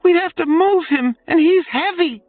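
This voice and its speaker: Man doing a young woman voice, high falsetto, bold, quick-witted, storybook, tinny line, faint music. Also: dry recording. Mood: shouting bold. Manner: high, shrill falsetto shouts.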